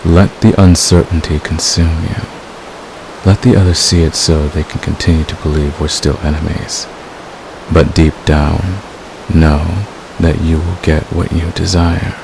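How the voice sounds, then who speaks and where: A man playing a devoted, manipulative villain in a romantic ASMR roleplay, speaking with deliberate, possessive intensity. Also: flat delivery, heavily acted. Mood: menacing, possessive. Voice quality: very deep, gravelly. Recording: clear background hiss.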